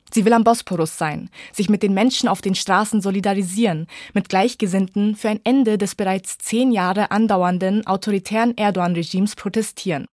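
A woman's voice: mid-range voice, darkish tone, no room sound, studio quality. A woman reading a scripted radio feature as a reporter and reviewer.

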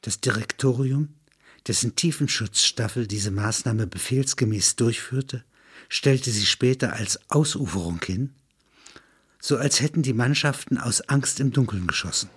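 Male narrator, medium pitch, somewhat raspy tone. Matter-of-fact literary reading in a documentary register. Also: measured pace, fairly bright tone.

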